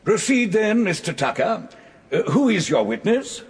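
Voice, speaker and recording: A man playing a dry, impatient British judge in a radio courtroom drama, measured and withering. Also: high-pitched, sing-song, slightly rough, exaggerated voice.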